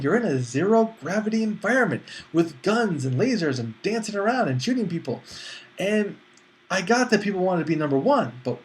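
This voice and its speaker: A man's voice: sits high, poor audio. A man giving a casual spoken film review as a movie critic, talking conversationally and acting out his imagined reactions.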